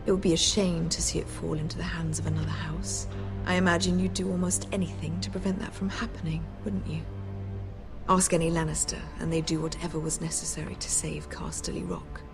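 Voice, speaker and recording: An actress playing an icy, menacing queen in a game drama, imperious and cutting, speaking with deliberate weight. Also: low voice, level tone, over music. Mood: veiled threat. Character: haughty, icy, menacing queen in middle adulthood.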